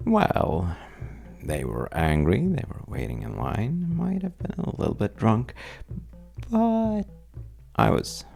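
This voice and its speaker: A man playing a wry, roguish, well-dressed stranger at a bar in an intimate audio roleplay, teasing and telling stories with dramatic pauses in a gravelly voice. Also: fairly low pitch, wildly swooping pitch, a little mellow, faint music behind, full character voice. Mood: amused storytelling. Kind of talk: intimate audio roleplay monologue addressed to the listener.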